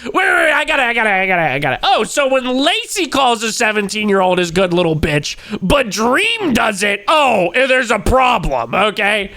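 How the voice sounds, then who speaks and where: A young man streams with a stuffy, nasal voice from a cold, his pitch bouncing around as he jokes and reacts. His delivery is loose and playful, and he talks straight to his chat. His voice sits close and dry on the microphone. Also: normal speed, hardly any pauses, no room sound. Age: 20s. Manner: joking, animated, laughs often, talks to chat. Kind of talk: live-stream reaction commentary.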